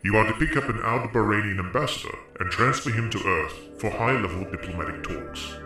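A formal, low-voiced space service official played by a male narrator delivers orders in measured, official tones for a pulp sci-fi tale. The words echo heavily, with loud music underneath. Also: lively intonation. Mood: formal, grave. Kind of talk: pulp science fiction radio storytelling.

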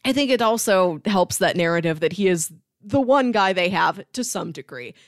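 A woman's voice: medium pitch, animated, moderate pace, no echo. Woman talking films, close dry sound. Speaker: podcast co-host debating films. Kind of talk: casual film discussion.

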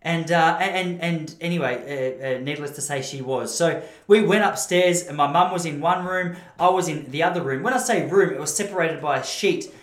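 A man's voice: fairly high pitch, normal speed, hardly any pauses. An Australian man hosting a podcast, telling comic stories in a rambling, self-interrupting monologue. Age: adult, married. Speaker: podcast host. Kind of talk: comic storytelling monologue to camera. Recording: roomy, echoing room sound.

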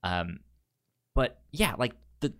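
A man's voice: medium pitch, big pitch swings, slow pace, a bit bright. A man in a casual film discussion as a podcast co-host, often stammering and repeating the first sounds of words.

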